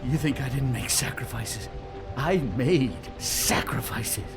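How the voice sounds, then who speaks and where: Creaky, cowardly bureaucrat. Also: male voice, mid-range voice, slow. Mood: bitter, defiant. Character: bitter, self-justifying, cowardly male bureaucrat. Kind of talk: video game voice acting. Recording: loud music under the voice.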